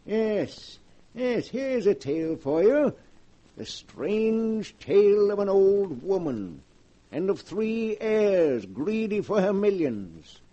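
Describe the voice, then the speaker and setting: An actor playing a mysterious male keeper of a book of dark tales, a slow, sinister, relishing storyteller in 1940s radio acting. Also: sits high, big pitch swings, full character voice. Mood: musing. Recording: dull lo-fi 1940s radio recording.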